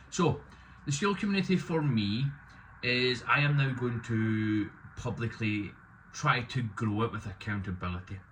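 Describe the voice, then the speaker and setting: Loud, opinionated man in his thirties. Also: on the low side, a little hiss or hum, rough recording. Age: 30s. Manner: loud, opinionated, self-deprecating. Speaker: business owner vlogging. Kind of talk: casual talking-to-camera vlog.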